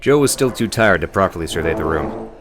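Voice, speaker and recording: A man's voice: deep voice, animated, brisk, music in the background. A man narrating an audiobook story in a scripted storytelling style.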